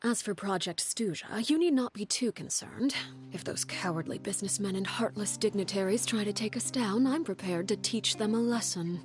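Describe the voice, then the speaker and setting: A woman playing a stern, composed leader of a secret family in a video game, speaking in a measured, formal, authoritative way. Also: medium pitch, faint music behind, lightly acted. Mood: resolute. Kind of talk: scripted video game dialogue.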